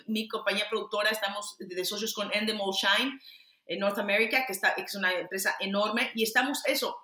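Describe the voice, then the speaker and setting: Mexican woman, middle-aged, bright high-ish voice, candid and emphatic, echoey room; interview guest. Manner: candid, emphatic, frequent restarts and fillers. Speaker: actress and producer. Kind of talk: interview answers.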